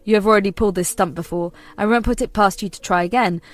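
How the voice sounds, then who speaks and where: A woman's voice: medium pitch, quick pace, faint music behind, close to an everyday voice. A woman voicing a torn adult son who answers his mother in a firm, earnest, weary way.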